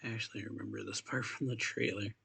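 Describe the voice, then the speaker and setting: Gravelly, husky, low-key male streamer. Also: fairly even intonation, fast, sharp tone. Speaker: reaction video streamer. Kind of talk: casual game commentary.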